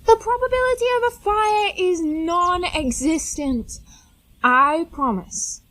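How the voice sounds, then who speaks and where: A woman's voice: extremely high pitch, takes it slowly, fairly bright tone, full character voice, poor audio. A woman playing a brainy, mischievous teenage superhero inventor in an audio drama, speaking in precise, wordy phrases. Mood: earnest, assured. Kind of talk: scripted audio drama acting.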